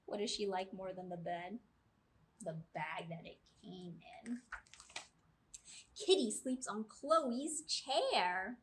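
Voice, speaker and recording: A woman's voice: mid-range voice, expressive, slow pace, pauses often, a little hiss or hum. A woman in her thirties reading aloud to young children, drawing out key words and putting questions to her listeners. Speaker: children's librarian and storytime host. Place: small indoor room with brick wall.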